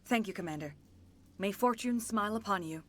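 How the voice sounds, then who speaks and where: An actress plays a centuries-old alien woman in a video game drama. Her voice sits at a medium pitch. She phrases everything formally and gravely, with a serene, remorseful dignity. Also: brisk, fairly bright tone, natural voice. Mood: humble, sincere.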